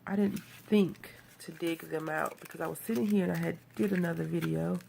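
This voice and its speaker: Gravelly-voiced woman with a cold. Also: lowish voice, steady tone, fairly quick, dark tone, slight background noise, low-quality recording. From Texas, USA. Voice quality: nasal. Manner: chatty, rambling, self-deprecating. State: has a cold. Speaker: craft video host. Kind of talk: casual card-making tutorial.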